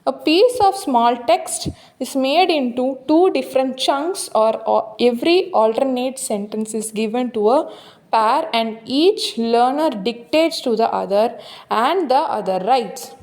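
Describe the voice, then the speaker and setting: A woman with a distinctly high voice and a South Indian accent gives a lesson. She reads out exam questions and options, then explains each answer step by step in an instructional manner. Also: lively intonation, unhurried, darkish tone. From Tamil Nadu, India. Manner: explanatory, checks with "right?" and "okay". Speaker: teacher.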